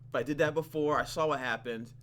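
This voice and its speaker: A man hosting a gaming video, reading story text aloud with casual, playful commentary and expressive reactions. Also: on the high side, fast talker, a little hiss or hum. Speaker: gaming channel host.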